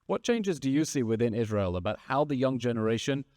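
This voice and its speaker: A man in his thirties addresses a conference audience from the stage. He speaks as a moderator in rousing, repetitive rhetorical phrases. His voice sits in a middle pitch and the sound is dry and close. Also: lively intonation, brisk, a little mellow, no room sound. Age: 30s. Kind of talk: stage speech and panel moderation.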